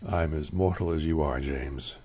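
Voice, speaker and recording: A man's voice: very deep voice, moderate pace, darkish tone, husky. An actor playing an old, wry male family retainer in a radio drama. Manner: dry and wry.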